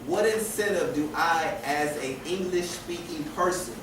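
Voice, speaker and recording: Man, teacher lecturing a class, rather high voice, loud echoey room, noise in the background. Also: unhurried, distant mic. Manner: repeats and restarts phrases, asks rhetorical questions.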